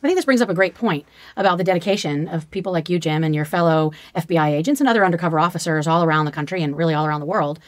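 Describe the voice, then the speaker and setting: A woman co-host probes and recaps the story in casual talk. She speaks very quickly in a slightly low voice, close and dry. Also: no echo. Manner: quick probing questions and recaps. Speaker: podcast co-host. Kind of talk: casual interview conversation.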